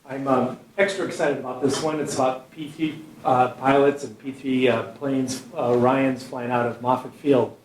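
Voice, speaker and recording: A man introducing a guest speaker, halting and eager, with plenty of fillers. He sounds set back from the mic in a roomy space, with faint noise behind him. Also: medium pitch, fairly even intonation, recorded from a distance. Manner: halting, full of fillers, enthusiastic. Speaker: program host.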